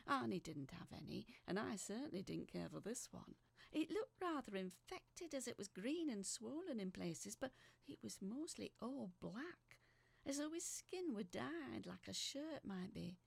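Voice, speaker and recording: A woman playing a feisty woman recounting a strange ordeal in expressive, sharp-tongued audio drama narration. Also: on the high side, a little slow, gravelly, husky, dry recording, full character voice. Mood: wry, uneasy. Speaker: narrator reading a letter aloud. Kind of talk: dramatic first-person storytelling in audio fiction.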